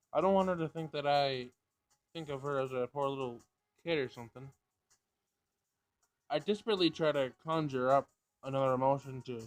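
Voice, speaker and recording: A man's voice: medium pitch, rough recording. A man casually reading a visual novel aloud, narration and dialogue, sometimes stumbling over the words.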